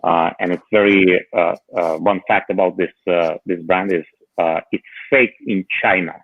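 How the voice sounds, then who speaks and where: A low-voiced man on a tinny, phone-like line, talking hesitantly with lots of fillers and dry humor. The sound is dry, with no room around it. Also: steady tone, normal speed, dry recording. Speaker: panel guest. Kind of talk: casual tech chat on a call.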